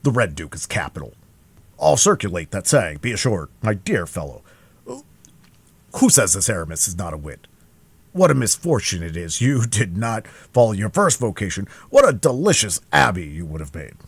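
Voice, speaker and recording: A man voicing a boastful, blustering musketeer who speaks loudly and with self-satisfaction in an audiobook reading. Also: lowish voice, big pitch swings, normal speed, husky, no echo, exaggerated voice. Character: boastful, hearty male musketeer Porthos.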